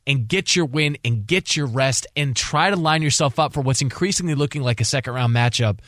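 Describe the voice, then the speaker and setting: A man's voice: medium pitch, fairly quick, a bit bright, no room sound, studio quality. An American man co-hosting sports radio, talking through analysis in a conversational, wordy, explanatory way.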